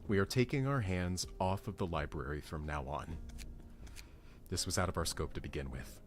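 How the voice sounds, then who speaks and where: A man voicing an apologetic, weary boss of a peacekeeping office, reading a game script in a measured way. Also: deep voice, lightly acted. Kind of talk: amateur game script read-along.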